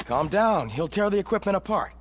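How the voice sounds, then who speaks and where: A man voicing a nervous technician in a game, anxious and warning, amid loud mechanical noise. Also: high-pitched, lively intonation, fairly quick. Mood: alarmed.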